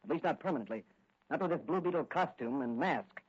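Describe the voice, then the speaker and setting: An actor playing a confident young police officer and masked crime-fighter in brisk, take-charge 1940s radio style. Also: male voice, fairly high pitch, animated, husky. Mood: confident, determined. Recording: muffled 1940s radio recording.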